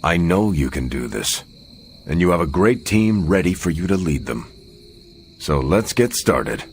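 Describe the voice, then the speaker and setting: A solemn male leader in a video game, steady and assured. His voice is very deep and encouraging, over faint music. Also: measured pace, full character voice. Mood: reassuring. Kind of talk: video game cutscene acting.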